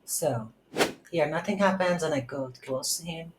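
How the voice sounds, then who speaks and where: A woman giving an unscripted screen-recorded coding tutorial, thinking aloud in halting short phrases. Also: sits low. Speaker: tutorial instructor. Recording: roomy echo, audible background noise.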